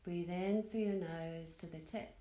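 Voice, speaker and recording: Slow, hushed-toned woman guiding meditation. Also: on the low side, fairly even intonation, roomy sound. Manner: soothing, gentle, unhurried with long pauses. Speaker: yoga nidra teacher guiding a meditation. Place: indoor room at a retreat.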